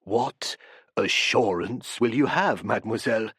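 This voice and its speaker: A man reading an audiobook, voicing a courteous, probing male professor who speaks softly and gently presses with questions. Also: lowish voice, measured pace, slightly rough, dry recording, studio quality, heavily acted. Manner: soft, interested, courteous.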